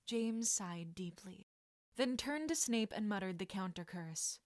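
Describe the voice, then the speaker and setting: A woman reading a story aloud as an expressive audiobook narrator. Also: medium pitch, a little slow, no room sound, clean studio sound. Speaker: audiobook narrator of fan fiction.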